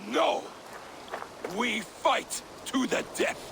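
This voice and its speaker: A man's voice: very high-pitched, drawn-out words, vocal fry, background noise, exaggerated voice. A man playing a resentful, defiant Viking warrior, speaking in declamatory, archaic phrases in a video game drama. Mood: angry, challenging. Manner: declamatory, archaic formal speech.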